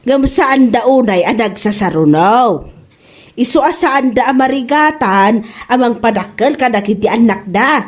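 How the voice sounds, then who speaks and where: Woman acting a high-voiced nagging Ilocano mother. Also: expressive, unhurried. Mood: disapproving. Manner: nagging, dramatic. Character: meddling, disapproving older mother, scolding. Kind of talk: Ilocano comedy drama acting.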